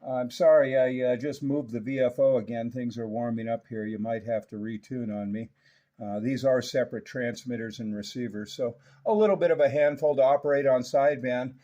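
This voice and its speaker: Older American male, folksy CB operator, relaxed mellow tone, chatty jargon-heavy delivery, muffled lo-fi sound. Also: mid-range voice, brisk. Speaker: CB radio operator and radio restorer. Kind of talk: casual radio check-in chat using CB jargon. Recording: dull, lo-fi audio.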